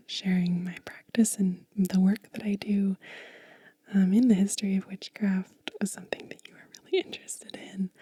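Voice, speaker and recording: A woman hosting an ASMR video in a soft, breathy whisper, talking gently and unhurriedly, close to the microphone. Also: mid-range voice, no room sound. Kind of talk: soft-spoken whispered ASMR, show and tell.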